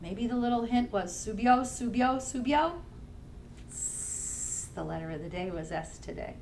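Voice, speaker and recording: A woman children's librarian leading a family storytime, singing nursery songs in English and simple Spanish and gently encouraging young children to join in. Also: a little high, animated, measured pace, creaky, slight background noise. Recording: somewhat distant from the mic, faint music.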